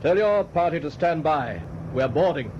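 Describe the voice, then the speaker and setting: An actor playing a decisive naval commander on a ship's bridge in a radio drama, barking terse orders. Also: male voice, a little high, animated, moderate pace, close to an everyday voice. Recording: muffled, noisy radio play with ship sounds.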